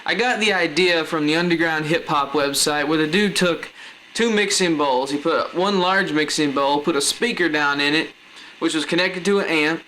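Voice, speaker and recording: Guy doing a casual diy how-to, kinda high bright voice, slangy, some noise behind him. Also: moderate pace, low-quality recording. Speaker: presenter of a DIY how-to video. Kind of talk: casual hands-on tutorial. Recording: noticeable background noise.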